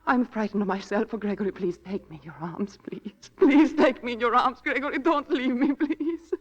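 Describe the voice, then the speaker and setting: A woman's voice: on the high side, moderate pace, natural voice. An actress playing a fragile, frightened wife who doubts her own sanity, pleading in old-time radio drama style. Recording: dull vintage radio recording, faint music.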